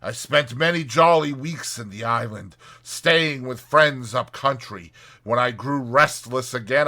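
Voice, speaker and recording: An animated, bright-voiced seafaring rat played by a man from a storybook. He is worldly and boastful, lingering slowly and grandly over memories of his voyages. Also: mid-range voice. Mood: contented. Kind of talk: dramatized audiobook character reading.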